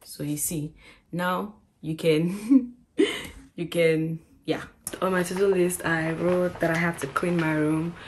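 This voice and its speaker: A woman's voice: fairly low pitch, fairly even intonation, slow pace, a little hiss or hum. A woman in her twenties chatting casually to camera in a vlog, talking to her viewers as "guys" while showing things around her small bedroom. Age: 20s. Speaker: vlogger. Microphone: camera mic at arm's length and across the room.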